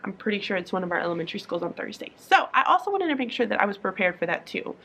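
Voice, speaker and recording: A woman's voice: medium pitch, very animated, very fast, bright, forceful tone. A young woman teacher vlogging from her classroom in a sleepy morning voice, talking casually to camera with lots of fillers and restarts.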